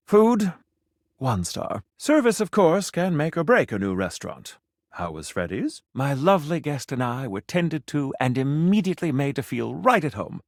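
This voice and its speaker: A man's voice: a little high, no echo, studio quality. A man voicing a pompous, flirtatious gourmet food critic for a video game, with florid, formal, dramatic delivery. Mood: disappointed, haughty, then grandly approving. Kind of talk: theatrical video game voice acting.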